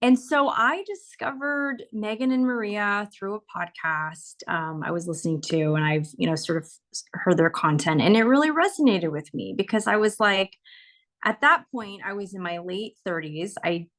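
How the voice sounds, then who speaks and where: A woman's voice: mid-range voice, normal speed. A woman in her forties from California, sharing her experience of a course in a casual, candid way, with frequent laughs and fillers. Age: 40s. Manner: warm, candid, laughs often, many fillers. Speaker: course member sharing a testimonial. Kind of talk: casual testimonial on a video call.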